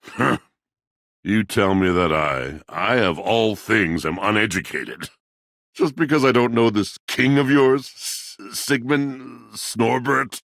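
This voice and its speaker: An actor playing a proud, melancholy, antiquated washing machine in a video game, speaking in a theatrical, formal, brooding way. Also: male voice, lively intonation, takes it slowly, a bit bright, no room sound, studio quality, full character voice. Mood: indignant anguish. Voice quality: very deep, husky. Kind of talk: video game character voice acting.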